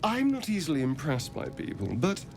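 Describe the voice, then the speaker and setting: A man's voice: fairly high pitch, sing-song, moderate pace, noisy background. A man playing a vain, flirtatious, sardonic rogue in a fantasy game, with arch, theatrical wit. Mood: admiring. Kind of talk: fantasy video game acting.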